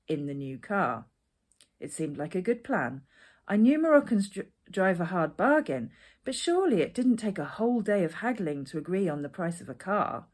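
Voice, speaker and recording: A woman reading a personal narrative aloud as its author. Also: lowish voice. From Edinburgh, Scotland. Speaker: author reading their own essay.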